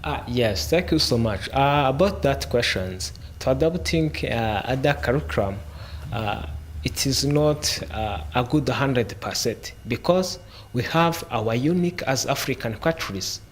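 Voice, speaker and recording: A man on a discussion panel who speaks haltingly, with many pauses and fillers. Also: medium pitch, expressive, slight background noise.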